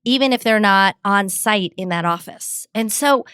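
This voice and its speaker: A middle-aged woman speaks in a smooth, resonant voice at a mid pitch, laying out her advice point by point with ease. Her delivery is confident and explanatory, and the sound is close and dry. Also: moderate pace, a bit bright, no room sound. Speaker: communications expert guest. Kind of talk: interview answers, advice with examples.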